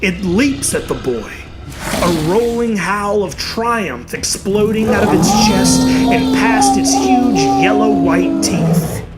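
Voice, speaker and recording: A narrator reading a horror story in a theatrical, dramatic way, with emphatic, drawn-out words. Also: mid-range voice, very animated, over loud music.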